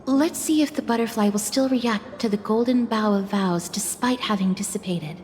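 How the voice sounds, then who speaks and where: A woman playing a gentle, solemn and polite young woman in a fantasy video game, soft-spoken and measured in a formal way. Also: mid-range voice, long reverb tail, a little hiss or hum, natural voice. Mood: calm, thoughtful. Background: background music.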